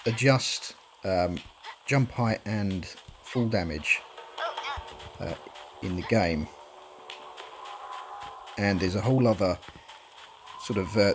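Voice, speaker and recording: Relaxed man, nasal from a cold. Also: low-pitched, a little hiss or hum. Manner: relaxed, rambling, frequent ums and uhs. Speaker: speedrunner commentating his run. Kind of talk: casual live commentary over gameplay. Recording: game music playing behind the voice.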